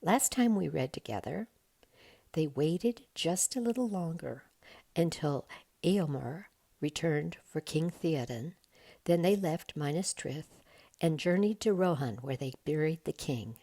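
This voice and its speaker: Female storybook reader: low, husky, deliberate bedtime narration with an unhurried pace. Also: expressive, warm tone.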